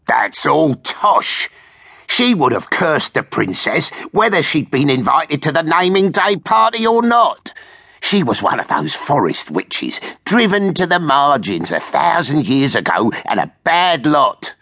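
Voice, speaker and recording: A fat-faced tavern gossip in a radio drama, gravelly and husky, with a sharp edge to the voice. He holds forth with animated swings of pitch, blustering and opinionated. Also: measured pace, heavily acted. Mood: dismissive.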